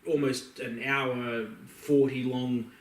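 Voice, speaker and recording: Male podcast co-host, mid-pitched, conversational and loose, echoey room sound. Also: flat delivery, slow pace. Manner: rambling, conversational, slangy. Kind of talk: casual chat about film and games. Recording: roomy, echoey, distant mic.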